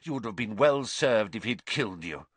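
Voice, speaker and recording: A man's voice: lowish voice, lively intonation, moderate pace, fairly bright tone, no echo. A man voicing a vindictive, fanatical wizard professor in an audiobook, sneering, hissing and spitting his words. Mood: deranged fury.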